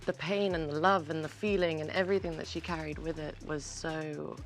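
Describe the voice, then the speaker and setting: A woman singer-songwriter musing softly in a casual interview. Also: low voice, steady tone, fairly quick, faint music behind.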